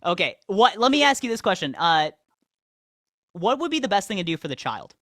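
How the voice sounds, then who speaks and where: A man's voice: sits high, sing-song, fairly quick, fairly bright tone, no echo, clean studio sound. A man hosting a livestream, debating a caller with pressing, pointed questions. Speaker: livestream host.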